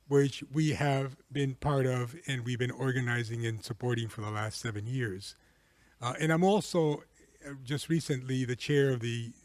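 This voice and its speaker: A man from Peru giving earnest, measured conference panel remarks as a civil society network chair, with frequent um fillers. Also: a little high, darkish tone, no room sound. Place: conference hall.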